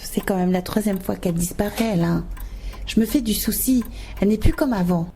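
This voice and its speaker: Woman acting a worried mother, mellow voice. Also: mid-range voice, brisk, slight background noise, close to an everyday voice. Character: worried, disheartened mother of a teenage girl. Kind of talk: amateur audio drama acting.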